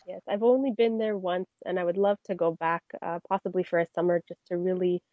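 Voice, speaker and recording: A woman's voice: lowish voice, steady tone, a little fast, dry recording, phone-quality sound. A young woman from Canada, a singer answering interview questions in a friendly, chatty way with frequent fillers. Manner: friendly, many fillers and restarts. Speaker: guest singer.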